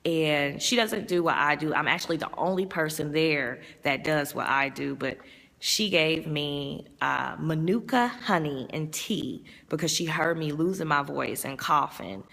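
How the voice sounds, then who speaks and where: Low, raspy-edged woman host, slow unhurried chat, strained voice. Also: a bit bright, big-room sound. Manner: chatty, rambling, reads viewer comments aloud. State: strained voice from overuse. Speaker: vlogger and music promoter. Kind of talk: casual live-stream chat.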